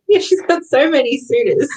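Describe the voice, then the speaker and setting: A woman's voice: high voice, darkish tone, poor audio. Giggly woman in casual book chat. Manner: giggly, often laughing while talking. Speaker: book club co-host. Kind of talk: casual chatty book discussion.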